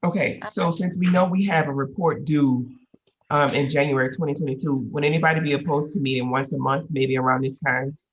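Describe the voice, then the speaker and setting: A woman chairing a public council meeting, running motions and agenda items in a brisk, procedural way with frequent pauses on "um," speaking over a video call. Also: low voice, fairly even intonation, highs cut off.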